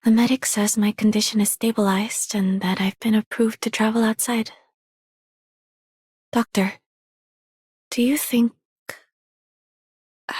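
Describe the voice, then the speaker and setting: A woman playing a hesitant, soft-spoken character in a video game, talking in a halting, tentative way. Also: medium pitch, slightly rough, dry recording, clean studio sound. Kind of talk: scripted game character voice line.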